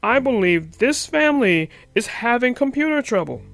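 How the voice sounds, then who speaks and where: A woman's voice: a little high, very animated, moderate pace, vocal fry, faint music behind. A woman voicing an exasperated but caring father bear in a children's storybook, speaking emphatically. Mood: announcing. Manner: emphatic, exclaiming. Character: exasperated father bear, loud and concerned cartoon papa.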